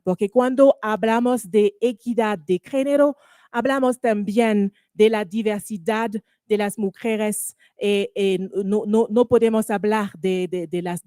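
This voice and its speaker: Slow halting French-speaking woman moderator. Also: medium pitch, mellow, dry recording, studio quality. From Caribbean. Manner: halting, searching for words with many fillers and restarts. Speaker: panel moderator. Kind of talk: hesitant formal panel moderation.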